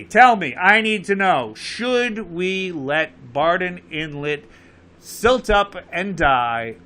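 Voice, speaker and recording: Bright high-voiced Connecticut man hosting. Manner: urging viewers, repeats the phone number, rising emphatic questions. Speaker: show host. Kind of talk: live call-in show chat.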